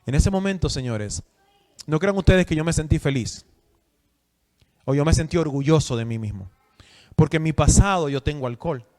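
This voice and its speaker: Man in his forties, preacher, high voice, emphatic, reverberant hall. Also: lively intonation, normal speed, long pauses, a little mellow. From Dominican Republic. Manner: asks the audience questions, emphatic. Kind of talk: sermon teaching.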